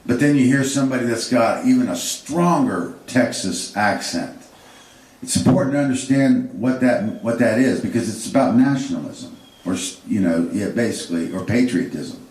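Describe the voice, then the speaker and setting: A man with a very deep voice lectures on history off the cuff, wandering through his points with fillers and restarts. He sounds distant from the mic, and the room is echoey. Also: lively intonation, normal speed, a little mellow. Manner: rambling, frequent fillers and restarts.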